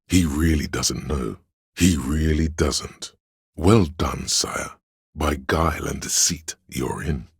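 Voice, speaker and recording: A scheming, theatrical servant of drama from a video game, counselling his liege in sly, archaic flourishes. The voice is profoundly low and thick with gravel. It is recorded close and very dry. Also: no echo, exaggerated voice. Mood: smug, courtly. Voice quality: gravelly, husky.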